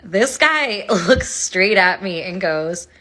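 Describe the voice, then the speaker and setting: A woman's voice: medium pitch, expressive, slow, bright, forceful tone. A woman from New York telling a story to camera in an incredulous, wry way.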